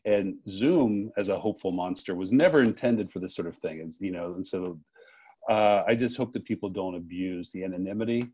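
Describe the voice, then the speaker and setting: A man interviewing in a reflective, unscripted way, halting often as he searches for his words. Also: deep voice, warm tone, husky. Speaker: interviewer, festival co-director.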